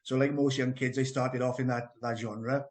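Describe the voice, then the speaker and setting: A man's voice: medium pitch, flat delivery, normal speed, darkish tone. A middle-aged or older man with a South Wales accent, giving a rambling, enthusiastic personal account as an interview guest. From Merthyr Tydfil, Wales. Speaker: interview guest, health business owner and trainer.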